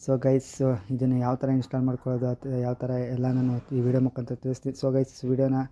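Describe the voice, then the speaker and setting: A man's voice: mid-range voice, completely flat delivery, rapid-fire, mellow. A man giving a casual step-by-step app tutorial, often addressing viewers directly. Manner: repeatedly addresses viewers as "guys". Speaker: video tutorial host. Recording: slightly dull lo-fi audio.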